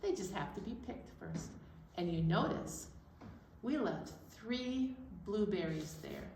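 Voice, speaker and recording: A woman presenting a children's storytime, explaining things simply and in an animated way, with sing-along songs. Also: medium pitch, slow. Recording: roomy, distant mic, some background noise.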